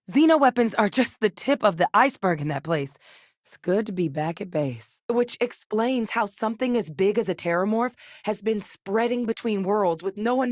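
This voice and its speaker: A woman's voice: fairly low pitch, no room sound, studio quality, lightly acted. An actress voicing a tough, no-nonsense female military veteran and scientist in a sci-fi video game, speaking in a clipped, matter-of-fact, commanding way. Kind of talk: scripted video game character dialogue.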